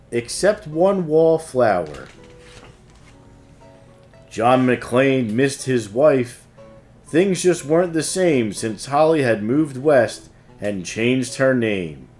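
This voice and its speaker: A man reading a rhyming holiday storybook aloud in an expressive, measured way. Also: medium pitch. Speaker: host and storybook reader. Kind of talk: read-aloud storybook narration.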